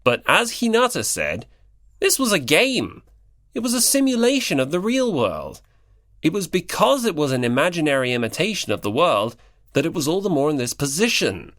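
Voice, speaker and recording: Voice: mid-range voice, big pitch swings, normal speed, a bit bright, no room sound, clean studio sound. An audiobook narrator reading scripted fiction in the third person.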